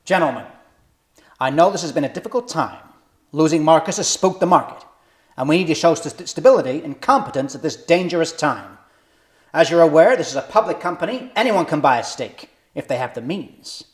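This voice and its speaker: A man's voice: on the high side, lively intonation, brisk, close to an everyday voice. A man playing a stern, formal bulldog of a company chairman in a boardroom drama read-through. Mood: formal, grave. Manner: formal, authoritative. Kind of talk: scripted drama table read.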